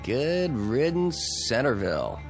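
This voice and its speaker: A man's voice: medium pitch, huge pitch swings, slow pace, music in the background, exaggerated voice. An actor playing a breezy, wisecracking, stubbornly reassuring everyman in a radio drama, with a wry, confident, casual delivery.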